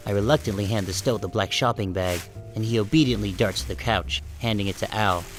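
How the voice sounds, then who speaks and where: A guarded, resentful sheep, voiced by a man tells his own story in an audiobook drama. The voice is fairly low, with a wry and tense delivery over background music. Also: a little fast, close to an everyday voice. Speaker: first-person narrator and protagonist. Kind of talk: scripted first-person audiobook narration.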